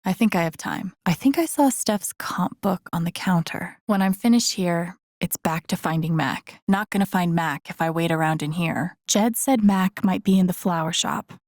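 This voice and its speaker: A woman playing a thoughtful, wry young game protagonist, musing to herself in low-key introspective lines. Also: normal speed, hardly any pauses, darkish tone, no room sound, studio quality, natural voice. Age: young adult.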